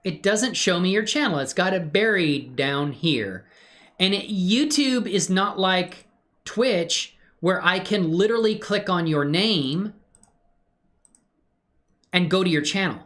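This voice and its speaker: A man's voice: high-pitched, expressive, takes it slowly, a bit bright. A man hosting a casual livestream, chatting effusively with viewers and rambling through his 3D animation work in playful slang. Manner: effusive when greeting viewers, playful slang, muttering and rambling while working. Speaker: livestream host and hobby 3D animator. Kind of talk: casual livestream chat and screen-share tutorial.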